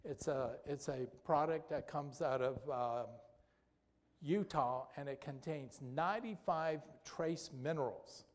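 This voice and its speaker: Male lecturer, higher-pitched, chatty Q&A style, church hall with long reverb. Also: unhurried. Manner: conversational, asks the audience questions, tag "okay?". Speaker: doctor giving a health talk. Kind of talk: health lecture with audience questions.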